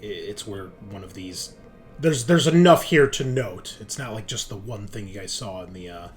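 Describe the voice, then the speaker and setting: Male game master, deep-voiced and unhurried, improvising tabletop scene narration haltingly over background music. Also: animated.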